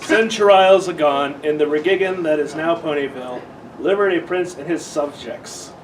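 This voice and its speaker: A man halting his way through misspelled comic text at a live panel, off mic with some room noise. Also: a little high, distant mic. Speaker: panel reader.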